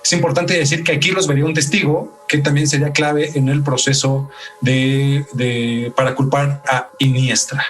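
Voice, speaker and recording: A man's voice: medium pitch, level tone, moderate pace, few pauses, distant mic, soft background music. A man with a Mexican accent hosting a true crime podcast, moving between telling the case and casual talk. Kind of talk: true crime narration mixed with casual chat.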